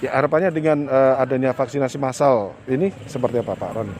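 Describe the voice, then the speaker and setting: Field reporter, male, mid-pitched, measured formal delivery with fillers, outdoor location. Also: darkish tone, a little hiss or hum. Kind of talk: on-location news report and interviews. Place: outdoors at a village hall.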